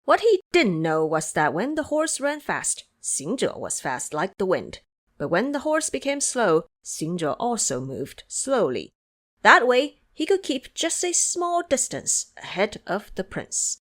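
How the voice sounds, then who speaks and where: A woman narrating an audio drama as a scripted story, with expressive, dramatic delivery. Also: medium pitch, unhurried.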